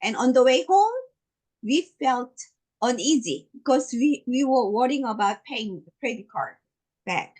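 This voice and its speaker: Emphatic Korean-accented woman, slow and animated. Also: a little high, poor audio. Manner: emphatic, repetitive, deliberate. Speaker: network marketing presenter. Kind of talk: motivational business presentation.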